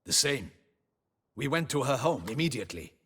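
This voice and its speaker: A brusque guard captain in a fantasy game, husky, pitch moves around a lot, formal n earnest. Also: male voice, sing-song, normal speed, a bit bright. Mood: earnest reporting. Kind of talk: video game voice acting.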